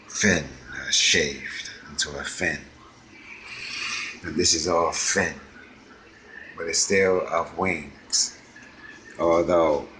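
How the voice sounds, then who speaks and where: A man's voice: very deep voice, sharp tone, a little hiss or hum, poor audio. An American man hosting his own show, delivering a rambling monologue full of ums and restarts. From United States. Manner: halting, with frequent ums and restarts. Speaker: host.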